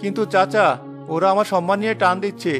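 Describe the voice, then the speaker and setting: A man's voice: high-pitched, steady tone, fast, fairly bright tone, faint music behind. A man voicing a poor, honest village porter in a Bengali cartoon, earnest, proud and wounded. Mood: despairing.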